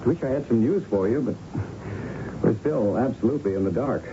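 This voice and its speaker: An actor playing an earnest police lieutenant in a radio drama, plain-spoken and probing. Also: male voice, mid-range voice, brisk, lightly acted. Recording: muffled, noisy old radio broadcast.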